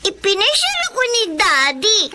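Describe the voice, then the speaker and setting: Very high-pitched sweet little girl. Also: wildly swooping pitch, moderate pace, noisy background, exaggerated voice. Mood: happy, childlike. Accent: Filipino. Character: sweet little girl, the nanny's ward, eager for love. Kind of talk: Filipino radio drama acting. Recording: loud music bed under voice.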